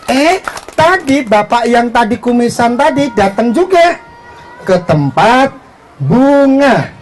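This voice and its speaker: Slow, animated children's storyteller. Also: medium pitch, slight background noise. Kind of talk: live narration for children, asking for audience response.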